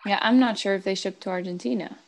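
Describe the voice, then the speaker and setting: A woman talks in an easy, unhurried way with her co-host, pausing on fillers as she thinks. Her voice sits at a middle pitch. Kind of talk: casual fan chat.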